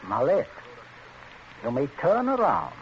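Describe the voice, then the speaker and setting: Coldly menacing patriarch, swinging intonation. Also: male voice, fairly high pitch, wildly swooping pitch, heavily acted. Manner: clipped, deliberate, icily polite menace. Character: cold, controlling middle-aged father plotting a cover-up. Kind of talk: 1940s radio suspense acting. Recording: dull, noisy vintage 1940s radio recording.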